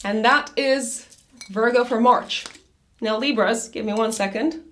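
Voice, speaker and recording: A woman reading a monthly horoscope in a chatty, loose way, her voice raspy after an illness. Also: on the high side, unhurried, fairly bright tone, slight background noise. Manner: chatty, loose, with many fillers and restarts. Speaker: astrologer.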